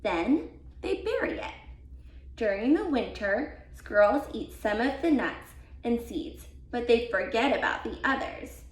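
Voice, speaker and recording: High, lively woman reading in an echoey room. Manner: deliberate, expressive emphasis for young listeners. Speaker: volunteer read-aloud reader. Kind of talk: children's picture book read-aloud. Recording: roomy, echoey sound.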